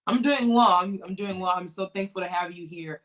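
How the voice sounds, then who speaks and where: Bright-voiced young woman host. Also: lowish voice, fairly quick, low-quality recording. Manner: eager, friendly questions with restarts. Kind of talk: interview hosting.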